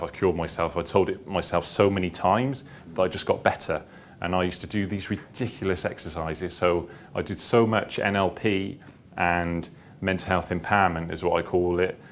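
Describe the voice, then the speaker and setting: A man with a low, deep voice gives an informal seminar talk. He speaks hesitantly, often repeating and restarting phrases as he works toward his point. The recording carries background noise and a low rumble. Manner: hesitant, repetitive, circling back through his phrases. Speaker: seminar speaker, publisher and founder.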